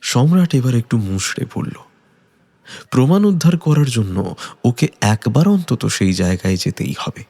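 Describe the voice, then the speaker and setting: A man's voice: medium pitch, very animated, normal speed, warm tone. A man giving dramatic scripted narration of a detective story.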